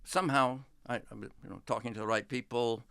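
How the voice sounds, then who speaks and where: Older male academic guest, unhurried anecdotes, dry studio sound. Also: medium pitch, steady tone, vocal fry, fairly bright tone, dry recording. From England. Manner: unhurried, reflective storytelling with many pauses. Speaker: history professor, guest. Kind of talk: relaxed interview talk.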